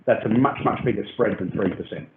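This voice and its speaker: A man with a lowish voice explains trading details at length, pausing often on ums and restarting his phrases. His voice comes through a thin, phone-like call line. The sound is roomy, with noise underneath. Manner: hesitant, many ums and restarts. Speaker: crypto project team lead. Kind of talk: explanatory AMA talk. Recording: tinny phone-like call audio, roomy and noisy.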